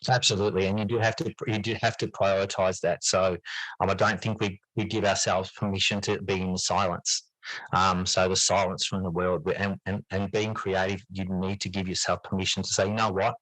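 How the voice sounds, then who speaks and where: A man with a low voice talks reflectively as a podcast guest. He is earnest, repeating and reworking phrases as he goes. The sound is dry, with very little room around it. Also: little pitch movement, brisk, fairly bright tone, no room sound, rough recording. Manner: earnest, repeats and rephrases himself as he thinks. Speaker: podcast guest, teacher and writer. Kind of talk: reflective interview talk.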